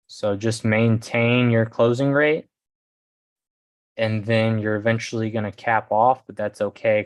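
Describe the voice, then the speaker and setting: Low-pitched man, fairly even, casual livestream host. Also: dry recording. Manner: rambling, often tags sentences with "okay" and "guys". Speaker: host of a business coaching livestream.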